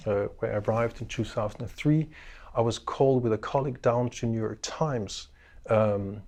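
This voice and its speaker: A middle-aged man from Denmark with a Danish accent, a professor giving a measured, unhurried course introduction lecture with frequent ums and uhs. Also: on the low side, a little mellow.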